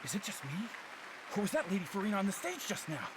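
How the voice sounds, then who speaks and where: A man's voice: high-pitched, wildly swooping pitch, fast talker. A man voicing a nosy, puzzled audience member in a video game. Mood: surprised. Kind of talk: game voice acting. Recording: crowd murmur and noisy ambience.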